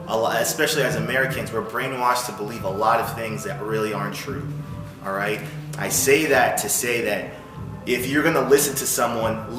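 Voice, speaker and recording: Male, mid-pitched voice, informal advice talk, instructive, frequent check-ins, roomy echo. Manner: instructive, punctuated with check-in questions like "okay?" and "all right?". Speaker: music business owner giving advice. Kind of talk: informal explanatory talk to viewers. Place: music studio. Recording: roomy echo, music in background. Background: music.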